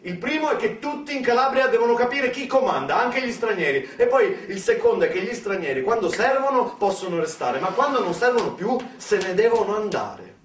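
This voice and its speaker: A man performing a dramatic theatrical storytelling monologue, emphatic, with deliberate pauses and repetitions. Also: high-pitched, big pitch swings. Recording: roomy, distant mic, faint background noise.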